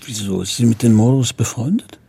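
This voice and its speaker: An actor playing a probing police commissario at home in a radio drama, speaking in a husky, creaky, low-key voice. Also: male voice, fairly quick, mellow. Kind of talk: naturalistic radio drama dialogue.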